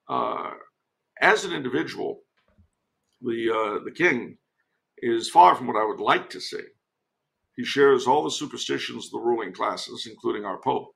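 Male commentator from the US, creaky voice, measured and wry, conversational podcast guest. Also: medium pitch, a bit bright. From United States. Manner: wry, measured, deliberate phrasing.

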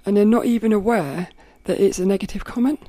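A woman with a rough, cracking voice, hosting a podcast and thinking aloud in a rambling way, often laughing. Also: medium pitch, fast, warm tone, dry recording. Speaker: podcast host. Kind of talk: casual reflective monologue.

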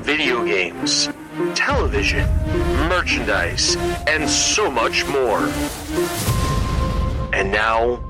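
A man's voice: medium pitch, very animated, very slow, long pauses. A man announcing a scripted, dramatic podcast intro. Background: loud music.

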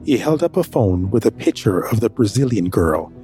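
A man's voice: on the low side, sing-song, moderate pace, warm tone, faint music behind. A man voicing a nervous first-person narrator. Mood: steady narration. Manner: anxious, hesitant in dialogue. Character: nervous young man hiding his sick brother. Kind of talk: audio drama narration.

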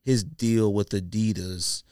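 A man's voice: on the low side, fairly even intonation, takes it slowly, a little mellow, clear, resonant tone, no echo. A man co-hosting a podcast in casual, opinionated chat, talking in a rambling, repetitive, slangy way. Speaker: podcast co-host.

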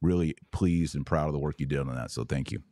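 Guy hosting a podcast, low deep voice, dry close sound, chatty and kinda enthusiastic. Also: fast talker, mellow, dry recording. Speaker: podcast host. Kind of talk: casual chat.

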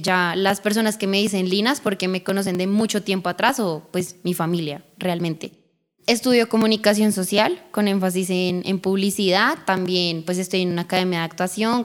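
A young woman in her twenties with a Bogotá accent, talking as a singer guest in a casual interview. She rambles in a bubbly way, full of fillers and little laughs, at a middle pitch. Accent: Bogotá Spanish. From Colombia. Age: 20s.

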